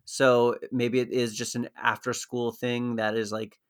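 A man with a mid-range voice recaps scenes in casual chat. He sounds even and matter-of-fact, walking through events step by step. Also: medium pitch, unhurried, clean tone. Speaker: podcast co-host.

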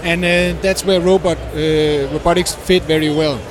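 A man describing his startup in a conference interview, hesitant with many pauses and non-native phrasing. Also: on the high side, steady tone, a crowd in the background. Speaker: guest, startup founder. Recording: noisy conference floor.

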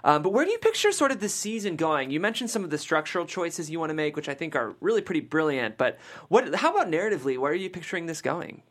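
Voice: mid-range voice, very animated, quick pace. A podcast host with an enthusiastic, chatty manner, interviewing and discussing scripts casually with guests. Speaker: host of a script table-read show.

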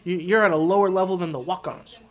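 A man's voice: high voice, steady tone, fast. A man in a casual podcast discussion, explaining at length and building out hypothetical situations.

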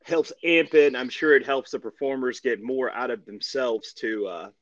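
A man co-hosting a wrestling podcast, chatting casually about sport in a halting way, repeating phrases as he searches for words. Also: medium pitch, muffled recording. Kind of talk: casual sports recap chat.

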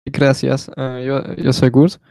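Hesitant German teen speaking Spanish. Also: male voice, medium pitch, completely flat delivery, slow pace, creaky, a little mellow, dry recording. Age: teens. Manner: hesitant learner's Spanish with many fillers. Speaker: exchange student guest. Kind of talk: casual interview.

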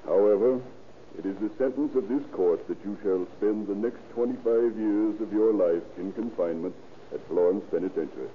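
Low-voiced stern male authority played by an actor. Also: narrow, tinny audio, lightly acted. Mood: stern, solemn. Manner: grave, formal, deliberate. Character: stern, authoritative lawman and sentencing judge. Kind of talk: 1930s radio acting. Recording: thin, hissy old radio recording.

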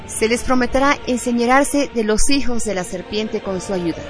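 A woman's voice: fairly high pitch, moderate pace. A woman reading a scripted radio narration in a solemn style. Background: background music.

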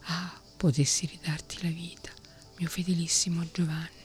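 A woman voicing a grieving fairy-tale king in a soft whisper, low in pitch, with faint music behind. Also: little pitch movement, moderate pace, natural voice. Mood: sorrowful.

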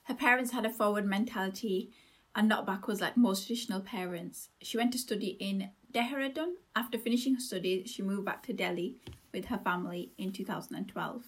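Female podcast co-host, mid-pitched, teasing, quick interjections. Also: husky. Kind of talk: casual true-crime podcast chat.

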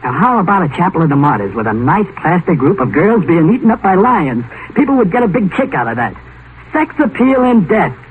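A man's voice: high-pitched, very animated, a little fast. An actor playing an eager, obsequious salesman of a manager in a mid-century radio drama. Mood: eager pitching. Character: eager, fawning huckster cemetery manager. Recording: noisy, tinny 1950s radio broadcast.

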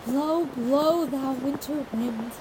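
A woman's voice: high voice, slow, background noise. A woman playing a frightened, fragile young woman in a radio horror drama, anxious and devoted, pleading breathlessly and repeating herself. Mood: anguished.

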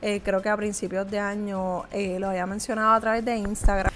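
A woman's voice: mid-range voice, level tone, a little fast, a bit bright, a little hiss or hum. A woman, a small business owner giving earnest, conversational motivational advice straight to the viewer, with frequent hesitant fillers. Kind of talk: motivational vlog talk to viewer.

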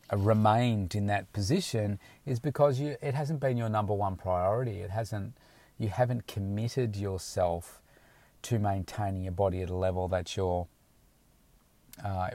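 Male fitness coach, slightly low register, frank, loose and rambling, recorded dry from his car. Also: normal speed, warm tone, dry recording. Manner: rambling, frank, with many restarts. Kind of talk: casual motivational talk to camera. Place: inside a car.

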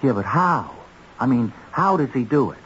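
An actor playing a naive, uneasy small-time crook in an old-time radio drama, halting, slangy and full of questions. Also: male voice, medium pitch, big pitch swings, a bit bright. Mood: uneasy, curious. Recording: dull, noisy old radio recording.

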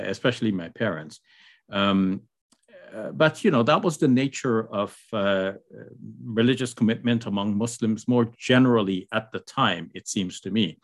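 An older man from Guyana, a guest scholar, answering interview questions in a measured, hesitant way with many fillers. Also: lowish voice.

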